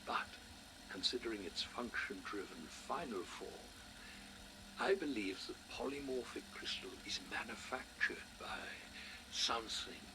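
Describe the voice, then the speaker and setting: A male researcher narrating a scripted audio log in a measured, scholarly way. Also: mid-range voice, lively intonation, background noise.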